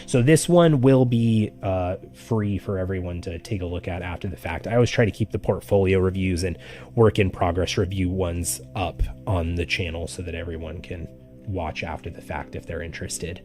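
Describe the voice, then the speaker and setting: A man giving casual art critique on a live stream, talking loosely with lots of fillers, his voice getting raspy. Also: low-pitched, normal speed, a little mellow. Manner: loose and chatty, with many "like" fillers. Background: faint background music.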